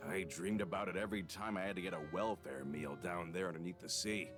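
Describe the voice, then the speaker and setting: A man's voice: sits low, fast talker, close to an everyday voice. An actor playing an earnest male gang member fresh out of prison in a video game. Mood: nostalgic. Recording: game music under the voice.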